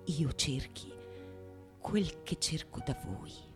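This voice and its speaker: A woman's voice: low voice, lively intonation, slow pace, music in the background. A woman playing a devoted male suitor, pleading breathily and intensely in flowery theatrical language. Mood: pleading, amorous. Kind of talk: theatrical monologue of Renaissance comedy.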